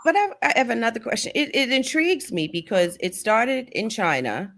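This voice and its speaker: A woman hosting a talk show, asking direct, brisk questions while slightly losing her voice. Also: a little high, animated, fairly bright tone. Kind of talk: interviewing a guest.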